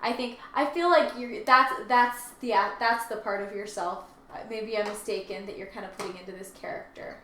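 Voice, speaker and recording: A woman's voice: medium pitch, normal speed, few pauses, sharp tone. A woman co-hosting a casual discussion, explaining her thoughts in a tentative, conversational way. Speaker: co-host. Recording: echoey room, faint background noise.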